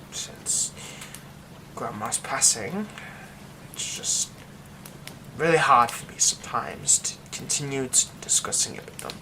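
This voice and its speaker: A brooding young man who hides a werewolf curse, played by a man in an ASMR roleplay drama. His mid-pitched voice moves slowly and stays hushed, slipping into whispers. Steady rain hisses behind him. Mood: bitter. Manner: hushed, often dropping to a whisper.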